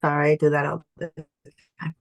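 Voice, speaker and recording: A middle-aged woman from Colombia, raised in the United States, introducing herself on a video-call panel as a yoga and meditation teacher, unhurried and halting, with ums. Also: sits low, flat delivery, no room sound. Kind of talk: casual personal introduction on a panel.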